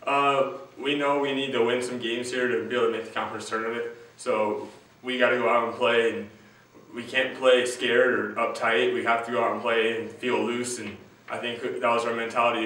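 A young man, a college basketball player, answering postgame interview questions in a plain, earnest, slightly halting way. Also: mid-range voice, flat delivery, sharp tone. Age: young adult. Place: small room at a team backdrop. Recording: echoey room, distant mic, faint hiss.

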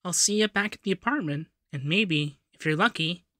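Man as soft, flirty femboy doctor, very close. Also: high-pitched, moderate pace, dry recording. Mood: playful, affectionate. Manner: teasing, intimate, soothing. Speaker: ASMR roleplay performer. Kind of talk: ASMR roleplay, whispering and soft speech. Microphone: close binaural 3Dio microphone.

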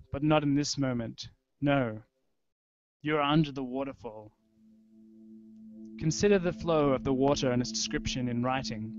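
A young man giving a measured, deliberate literary reading of experimental fiction into a close microphone. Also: medium pitch. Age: young adult. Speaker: writer reading his own audio fiction. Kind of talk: literary reading over a soundscape. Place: indoor room with wooden ceiling. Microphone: handheld-style microphone close to mouth, video call. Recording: dull lo-fi online stream. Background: prominent musical soundscape.

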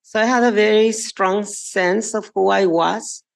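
A woman from Mexico introducing herself on a panel, reflective and halting, speaking into a table microphone in a large hearing room. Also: medium pitch, steady tone, gravelly.

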